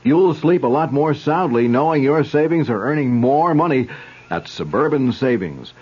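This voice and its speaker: A man delivers a 1970s radio advertisement in a salesy way. His voice is breathy. The broadcast sound is dull and lo-fi. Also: mid-range voice, expressive, moderate pace, darkish tone. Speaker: commercial announcer.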